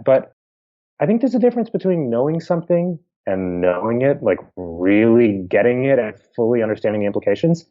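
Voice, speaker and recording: A man's voice: mid-range voice, muffled recording. A middle-aged man with a Pennsylvania accent, a historian answering interview questions in a thoughtful, explanatory way with informal asides. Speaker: historian guest.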